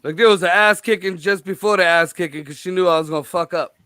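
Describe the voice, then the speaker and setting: A man's voice: high voice, fast talker, sharp tone, slight background noise. A man telling stories in casual chat, blunt and full of profanity.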